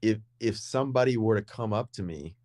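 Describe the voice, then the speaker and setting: A young man with a low, deep voice talks through his point in a relaxed podcast conversation. He rambles and circles back, often repeating words and restarting sentences as he goes. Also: a little fast, dry recording. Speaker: podcast co-host. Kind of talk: casual conversation.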